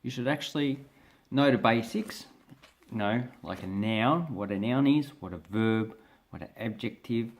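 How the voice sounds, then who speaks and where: An Australian man giving a casual spoken lesson to camera, talking in a relaxed, hesitant way with many fillers and restarts as he shows pages from a book. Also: mid-range voice, measured pace. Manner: explains by showing pages from a book. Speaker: language vlogger.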